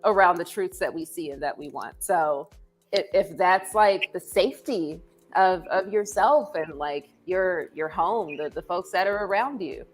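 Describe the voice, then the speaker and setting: Thoughtful woman guest, lowish voice. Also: unhurried, faint music behind. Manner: thoughtful, hesitant with repeated words. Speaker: podcast guest. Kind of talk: reflective discussion.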